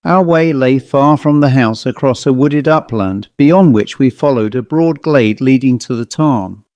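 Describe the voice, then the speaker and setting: A man narrating a Victorian ghost story as a scripted audiobook, in a measured, dramatic storytelling manner. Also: medium pitch, a little mellow, no echo, dull audio.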